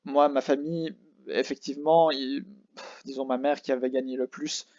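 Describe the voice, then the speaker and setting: A man's voice: mid-range voice, steady tone, a little fast, a little mellow, no echo. Rambling bilingual man, dull dry sound. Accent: Geneva Swiss French. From France near Switzerland. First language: French and English. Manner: rambling, restarts, switches language. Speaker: livestream host. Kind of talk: casual livestream chat.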